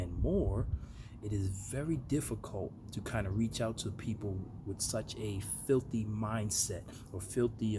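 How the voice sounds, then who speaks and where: An American man talking earnestly and reflectively to his viewers in a casual motivational monologue. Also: fairly low pitch. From United States. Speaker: vlogger. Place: outdoor backyard. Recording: noisy amateur recording with ambient noise.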